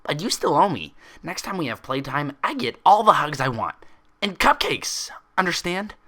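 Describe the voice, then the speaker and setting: Bubbly, mischievous female cartoon pony, played by a man, giggly, excitable, pitched up and bright. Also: moderate pace, exaggerated voice. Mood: playful, insistent. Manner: playful, excitable, exclaiming.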